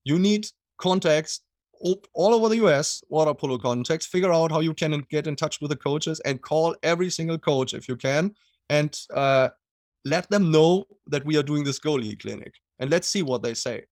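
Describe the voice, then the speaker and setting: A man's voice: a little high, moderate pace, a little mellow, no echo, studio quality. A middle-aged man with a German accent, a water polo coach talking in a casual interview, hesitant with many fillers and restarts.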